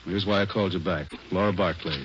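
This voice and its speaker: Low, businesslike American police chief. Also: male voice, steady tone, fast, a bit bright. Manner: businesslike, plain-spoken. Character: brisk male chief of detectives giving orders. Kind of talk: 1940s radio police drama dialogue. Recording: muffled old radio recording, faint noise.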